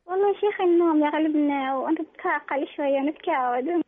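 A woman with a high voice speaks quickly over a thin, phone-like line. She is respectful and halting, often restarting her sentences as she asks. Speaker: caller. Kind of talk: phone-in question. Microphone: telephone.

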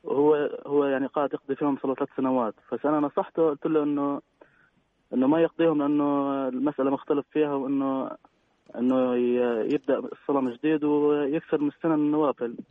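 A man calling in by phone, asking a string of questions politely and hesitantly, with many pauses and fillers. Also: fairly high pitch, fairly even intonation, no room sound. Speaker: caller. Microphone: telephone. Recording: dry tinny phone line.

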